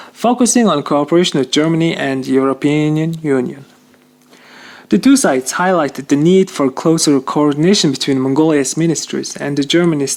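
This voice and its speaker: A man presenting a read news bulletin over a shortwave radio broadcast, reading carefully with some slips in phrasing. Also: fairly high pitch.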